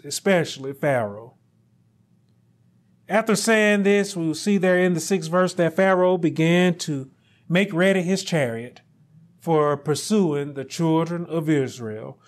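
A middle-aged man delivering a sermon in a small studio, his voice pitched noticeably high. He takes his time, drawing out phrases unhurriedly. His voice swells into loud, high emphasis at the key points. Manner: slow, deliberate preaching that climbs into loud, high emphasis. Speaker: pastor.

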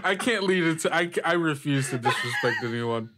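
A man's voice: fairly high pitch, lively intonation, moderate pace, very noisy. A man hosting an actual-play podcast as game master, theatrical and jokey in his delivery.

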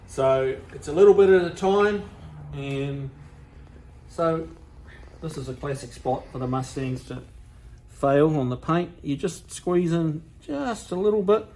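A man in his sixties with a New Zealand accent gives relaxed, practical tips as he walks through restoration work. His voice sits a little high. He is recorded on a nearby camera mic in a large workshop with faint noise in the background. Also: a little slow, poor audio. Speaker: workshop owner demonstrating car restoration. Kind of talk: casual how-to demonstration. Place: large car workshop, indoors.

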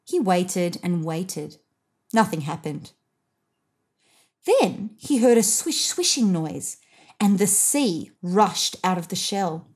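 A woman reads a children's storybook aloud. Her narration is lively and expressive, with a widely moving pitch and deliberate stress on phrases. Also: husky. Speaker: picture book reader.

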